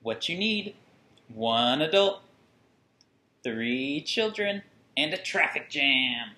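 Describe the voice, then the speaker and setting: A man in his thirties reading a picture book aloud to children with deliberate, singsong phrasing and dramatic emphasis. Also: medium pitch, lively intonation, bright, forceful tone. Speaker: children's story reader. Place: small furnished room at home, indoors. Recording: roomy indoor echo.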